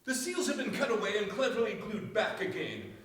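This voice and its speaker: A man's voice: high-pitched, wildly swooping pitch, brisk, a bit bright, exaggerated voice. A man playing a formal, dutiful family lawyer in a staged mystery radio play. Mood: alarmed. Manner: formal, proper. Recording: echoey stage from far off, faint noise.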